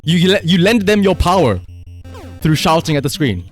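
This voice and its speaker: Male livestream gamer, slightly high voice, very lively intonation, casual and sweary, excitable. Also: normal speed, soft background music. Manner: excitable, emphatic, shouts at the game, swears. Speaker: live streamer. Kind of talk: casual livestream gaming commentary.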